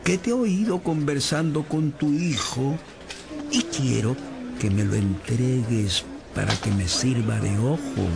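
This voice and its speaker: A man's voice: medium pitch, measured pace, gravelly, over music. An actor playing a wheedling, cunning blind beggar man in a radio drama, with an insinuating, persuasive manner. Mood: coaxing. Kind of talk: Spanish-language radio drama acting.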